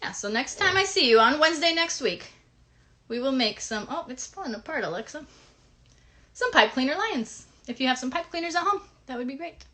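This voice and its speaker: A woman co-hosting a children's puppet show, chatting casually in an encouraging, gently instructive way. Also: high voice, lively intonation, brisk. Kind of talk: casual interactive chat with children.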